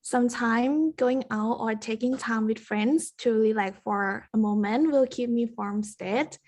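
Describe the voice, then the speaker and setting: A woman's voice: on the high side, measured pace, a little mellow. A 21-year-old woman with a Thai accent answering practice interview questions in careful, rehearsed English. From Thailand. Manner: careful, rehearsed, non-native grammar. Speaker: university student.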